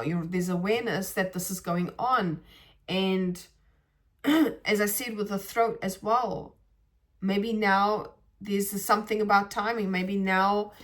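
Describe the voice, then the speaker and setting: A woman speaks in a reflective, spiritual monologue for her podcast. She meanders and pauses to find her words, restarting sentences as she thinks aloud. Her pitch sits in the middle range for a woman. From South Africa. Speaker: podcast host.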